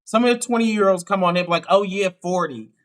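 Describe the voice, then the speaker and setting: A man with a Pittsburgh accent hosting a live dating show, asking upbeat questions and often saying "okay, okay". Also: high-pitched. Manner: upbeat, quick questions with frequent affirmations. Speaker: dating coach host.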